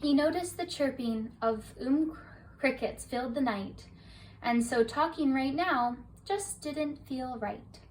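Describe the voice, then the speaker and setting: A woman reading a children's bedtime story aloud in a soft, rhythmic rhyming delivery. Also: sits high, slow. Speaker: storybook reader. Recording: distant mic, faint hiss.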